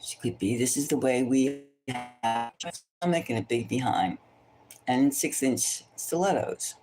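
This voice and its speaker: A woman's voice: very deep voice, unhurried, mellow, soft background music. A woman tarot reader chatting casually and thinking aloud, gossipy and opinionated, often rambling and repeating her phrases. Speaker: tarot reader hosting a celebrity psychic reading.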